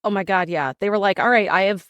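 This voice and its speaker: A middle-aged woman hosting a podcast in casual chat, her voice husky and a little hoarse from yelling. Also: mid-range voice, fast talker, no echo, lo-fi sound. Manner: chatty, laughing, reads synopsis aloud. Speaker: podcast host.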